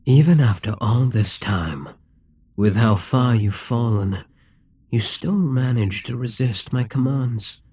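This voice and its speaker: Demon King for audio roleplay, male actor: calculating, domineering immortal ruler, husky voice, close dry sound, condescending and deliberate. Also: mid-range voice, mellow, dry recording. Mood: hypnotic, commanding. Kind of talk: ASMR audio roleplay, dramatic monologue.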